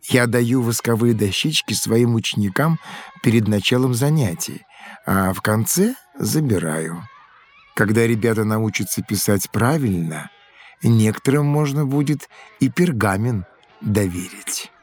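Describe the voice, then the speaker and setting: An actor playing a folksy schoolmaster of old Rus in an educational audio drama, explaining things expressively. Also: male voice, lowish voice, expressive, unhurried. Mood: instructive. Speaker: teacher.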